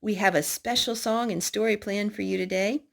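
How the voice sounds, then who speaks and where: A woman's voice: medium pitch, steady tone, moderate pace, vocal fry. A woman hosting a children's storytime, reading picture books aloud and asking little prompting questions in a child-directed way.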